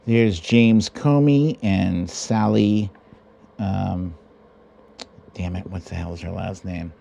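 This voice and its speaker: A man hosting a live online storytime show, switching between theatrical storybook reading and casual chat, with an exaggerated, mocking, drawn-out delivery. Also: low voice, creaky, mellow, a little hiss or hum.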